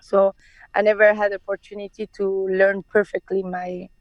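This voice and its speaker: A woman's voice: mid-range voice, flat delivery, moderate pace, no room sound, highs cut off. A woman in her thirties with a French accent, a native Persian speaker, talking hesitantly as a guest on a video call from her car. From France. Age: 30s. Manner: hesitant, many restarts and laughs. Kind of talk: casual interview.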